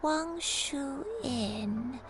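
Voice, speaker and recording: A woman voicing a gentle, dreamy elderly grandmother in a video game. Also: sits high, lively intonation, extremely slow, background noise, exaggerated voice. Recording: music behind the voice.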